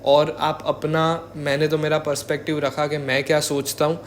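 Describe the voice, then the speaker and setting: A young man talks through his commentary informally. His voice sits a little high and stays quite monotone, with English words dropped in. There is faint noise behind him. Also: normal speed. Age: young adult. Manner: code-switches with English words. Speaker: vlogger.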